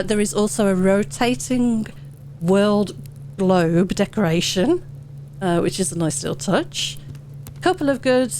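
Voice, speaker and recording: A woman hosting a gaming channel, hoarse and wheezy from a head cold, chatting casually through update notes with lots of ums. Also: medium pitch, a little mellow, a little hiss or hum. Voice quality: wheezy, sore-throated. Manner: chatty, rambling, with many ums. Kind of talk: casual gameplay commentary.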